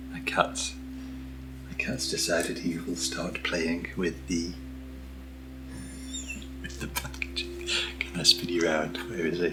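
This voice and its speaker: A British man speaking softly in an ASMR unboxing style, hushed and often slipping into a whisper, as the host of the video. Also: deep voice, poor audio. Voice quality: soft, often dropping to a whisper. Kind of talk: soft-spoken ASMR unboxing.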